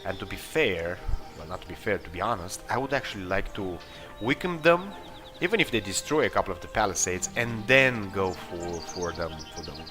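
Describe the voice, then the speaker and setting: A man's voice: sits low, big pitch swings, fairly quick, few pauses. A man giving casual, improvised commentary on a game, hesitantly thinking aloud as he decides his moves. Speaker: let's play host. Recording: game music under commentary. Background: video game music and battle sounds.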